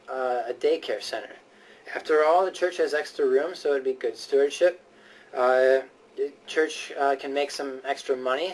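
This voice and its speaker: A man delivering a persuasive, sermon-like speech in an earnest, emphatic and often hesitant way. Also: mid-range voice. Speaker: speaker giving a prepared speech. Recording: voice distant from the microphone.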